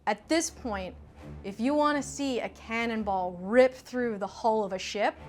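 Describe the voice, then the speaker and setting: A woman's voice: a little high, a little slow, a little mellow, soft background music. A woman who creates audio drama, speaking reflectively in an interview about creative work.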